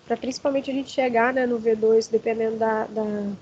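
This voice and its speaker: Hoarse Brazilian woman lecturing, muffled. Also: fairly high pitch, fairly even intonation, warm tone, slight background noise. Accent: Brazilian Portuguese. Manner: halting, many fillers and restarts. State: hoarse from allergy. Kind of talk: online lecture.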